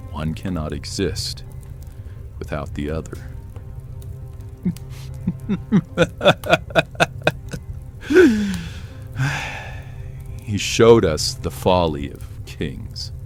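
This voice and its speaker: Weary, philosophical medieval French warrior, acted by a man; deep, unhurried, deliberate delivery for audio fiction. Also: sing-song, faint music behind, exaggerated voice. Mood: philosophical, reflective. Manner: slow, deliberate, reflective.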